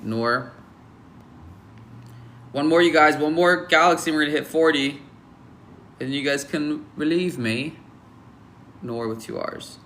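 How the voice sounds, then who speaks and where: A young man talking through a livestream mic with a bright, forceful voice. He gives blunt, offhand verdicts full of ums and restarts. He teases his chat in an easy, casual way. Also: mid-range voice, a little hiss or hum. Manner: joking, teasing the chat. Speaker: livestream host rating viewers' photos. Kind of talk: casual live-stream chat.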